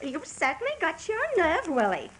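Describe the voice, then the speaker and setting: An actress playing a brassy, nagging mistress in a radio drama, whining and demanding. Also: female voice, extremely high pitch, wildly swooping pitch, normal speed, noisy background, heavily acted. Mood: indignant. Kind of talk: stage-style radio drama acting.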